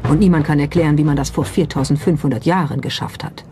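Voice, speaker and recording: A documentary narrator delivers scripted lines at a medium pitch, with pointed skepticism and a rhetorical edge. Music plays clearly behind the voice. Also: fast talker. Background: background music.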